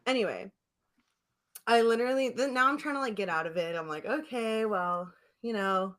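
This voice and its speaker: A woman co-hosting a casual comedic podcast, telling stories in an animated, slangy way, acting out her replies and laughing often. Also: a little high, normal speed. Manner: animated storytelling, acts out her own text replies. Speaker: podcast co-host.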